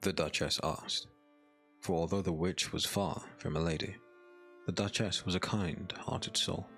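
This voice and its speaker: A man narrating a scripted dark fairy tale as a storyteller, in a measured, solemn manner. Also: low-pitched, steady tone, soft background music.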